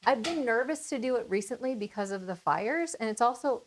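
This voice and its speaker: American woman in relaxed casual chat. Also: fairly high pitch, fairly quick, a little hiss or hum. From Minnesota, United States. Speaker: cooking channel host. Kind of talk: casual chat answering viewer questions.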